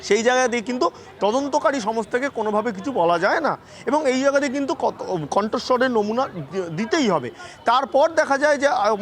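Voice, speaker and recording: A man with a West Bengal Bengali accent giving an emphatic, unscripted live TV news report, often restarting and repeating phrases. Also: very high-pitched.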